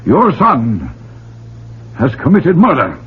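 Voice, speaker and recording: Casting: coldly insistent small-town reverend, very raspy, animated intonation, dull vintage radio sound. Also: male voice, mid-range voice, slow pace, heavily acted. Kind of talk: 1970s radio drama acting. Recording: muffled old radio recording.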